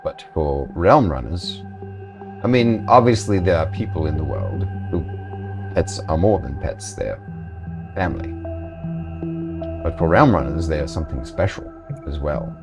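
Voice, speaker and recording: Man doing a slow Eastern European-accented corporate boss, very low voice, formal, music behind. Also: lively intonation. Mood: measured. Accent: put-on Eastern European. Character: smooth male dragonkin corporate chief, formal.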